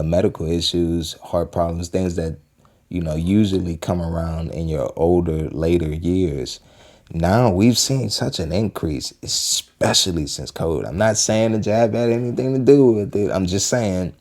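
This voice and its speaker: Low, mellow-voiced young man talking casually. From Western Pennsylvania. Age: 20s. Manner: rambling, repeats himself, many fillers. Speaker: video host reacting to a clip. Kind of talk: casual motivational talk.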